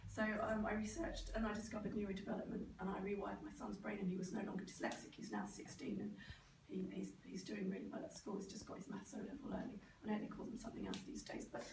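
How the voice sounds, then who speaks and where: A middle-aged British woman with a croaky, sore-throated voice, giving an informal, animated talk and sometimes mimicking other people. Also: medium pitch, quick pace. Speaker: speaker, neurodevelopment specialist. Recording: roomy, distant microphone, clear background noise.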